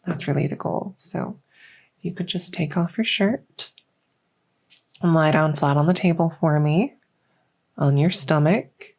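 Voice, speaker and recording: Woman playing a gentle, low-voiced fae doctor. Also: vocal fry, full character voice. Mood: gentle, reassuring. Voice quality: soft, occasionally whispery. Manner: unhurried, reassuring, hesitant pauses. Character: gentle female fae doctor with buggy wings and scaly tail. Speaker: audio roleplay performer. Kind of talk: soft-spoken ASMR medical roleplay.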